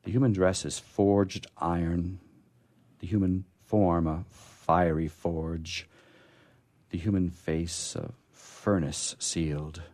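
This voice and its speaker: Very deep-voiced man reciting poetry. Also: fairly even intonation, takes it slowly, a little mellow. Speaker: poetry reader and musician on a radio program. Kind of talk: poetry recitation with casual commentary.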